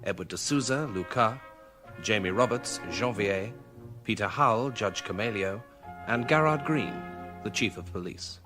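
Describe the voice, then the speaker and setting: A British man reading scripted radio drama credits as an announcer, in a formal, measured manner. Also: fairly low pitch. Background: music.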